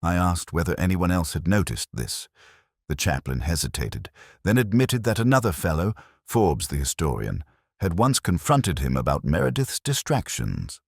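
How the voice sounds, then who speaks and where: Male narrator, very deep, expressive pitch, for brooding first-person detective fiction. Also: moderate pace, creaky, no echo, clean studio sound.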